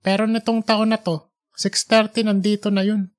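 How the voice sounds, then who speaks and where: A narrator voicing a chatty, higher-pitched church cleaning lady. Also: flat delivery, moderate pace, close to an everyday voice. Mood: chatty, sure. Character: chatty, admiring female church cleaner, older than youth.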